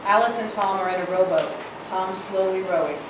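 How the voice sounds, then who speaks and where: A woman's voice: medium pitch, moderate pace. A woman reading scripted stage directions aloud at a live screenplay reading. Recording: echoey hall, distant mic, noisy.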